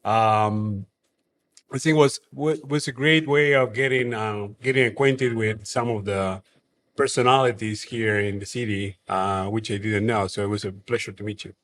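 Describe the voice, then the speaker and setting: A man's voice: lowish voice, steady tone, a bit bright, a little hiss or hum. A man from Argentina with an Argentine Spanish accent, a brewery co-founder talking casually in an interview, with frequent fillers and restarts. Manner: halting, many fillers and restarts.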